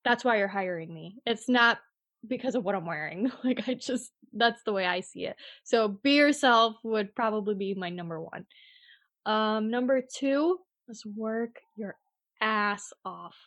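A woman's voice: mid-range voice, a little slow, dry recording. A woman in her twenties, a real estate agent, answering interview questions in a blunt, casual way and acting out quotes as she goes. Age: 20s. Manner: blunt, animated retelling with quoted asides and frequent "like".

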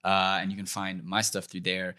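A man's voice: low voice, completely flat delivery, normal speed, sharp tone. A man chatting and joking as a podcast guest in a rambling, casual way.

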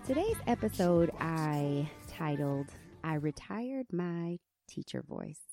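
A woman in her thirties with a squeaky country voice, telling a candid personal story as host of her podcast. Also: slow pace, soft background music. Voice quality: squeaky, high voice. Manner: candid, animated storytelling with laughs. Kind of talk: personal reflective podcast monologue.

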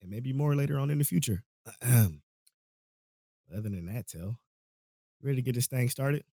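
A man's voice: mid-range voice, animated, moderate pace, warm tone, no echo, clean studio sound. A man hosting a casual podcast, talking in a laid-back, rambling way with frequent laughs, his voice a little worn from a cold. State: voice going, catching a cold. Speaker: podcast host.

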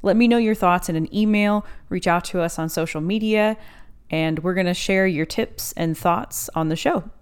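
A woman hosts a podcast with a slightly low voice. She introduces her guest and asks questions in a friendly, encouraging way. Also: normal speed, clean tone. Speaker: podcast host. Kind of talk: podcast hosting and interviewing.

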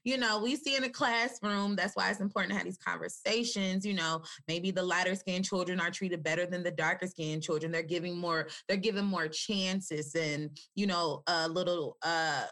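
A woman's voice: fairly low pitch, steady tone, normal speed, hardly any pauses, fairly bright tone. A woman on a podcast speaking earnestly, pressing her point about taking conversations further. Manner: earnest, advocating.

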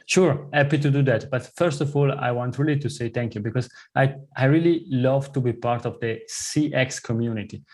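A man from the Italian-speaking south of Switzerland talks in English with a clear Italian-Swiss accent. His voice sits at an ordinary pitch, and his phrasing is earnest and slightly non-native as he answers a podcast host. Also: normal speed, few pauses, a little mellow. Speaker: podcast guest. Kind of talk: conversational interview.

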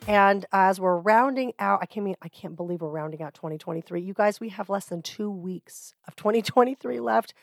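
A middle-aged woman talks through her solo podcast in a relaxed, candid way, laughing now and then. A cold has left her voice hoarse and gravelly, sitting at an ordinary middle pitch. The recording is dry and close. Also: brisk, hardly any pauses, no echo, soft background music. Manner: chatty, candid, laughs easily. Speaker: podcast host.

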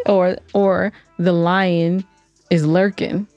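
A woman's voice: on the low side, flat delivery, takes it slowly, darkish tone, no room sound, highs cut off, faint music behind. A woman co-hosting a casual podcast, telling stories in a giggly, teasing way. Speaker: podcast co-host. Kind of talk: casual teasing banter and storytelling.

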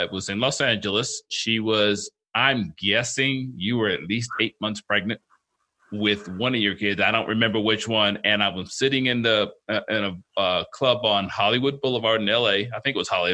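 A man in his fifties co-hosting a relaxed chat, his voice low and bright-edged. He rambles through stories, doubling back on his words. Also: normal speed, low-quality recording. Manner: rambling, storytelling, many restarts. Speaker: podcast co-host. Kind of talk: casual interview chat.

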